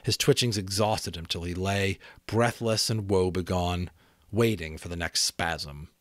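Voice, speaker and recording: A man's voice: sits low, steady tone, unhurried, a bit bright, no room sound, clean studio sound. A man narrating an audiobook in a dramatic, literary storytelling style.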